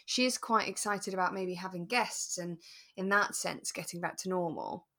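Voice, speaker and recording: Low-pitched woman podcaster, relaxed chat. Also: normal speed. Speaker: podcast co-host. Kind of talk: relaxed casual discussion.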